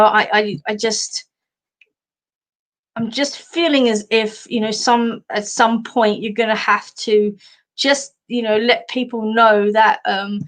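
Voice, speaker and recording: An Australian woman, a psychic medium and life coach, giving a casual card reading with many fillers and restarts in a slightly husky voice. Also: mid-range voice.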